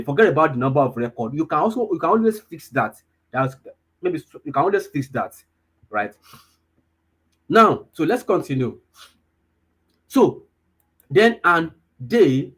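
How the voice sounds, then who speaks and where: A man's voice: a little high, slightly rough. A man, teaching a live online data class, repeating himself and often checking whether listeners follow. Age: 20s. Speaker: data analysis instructor.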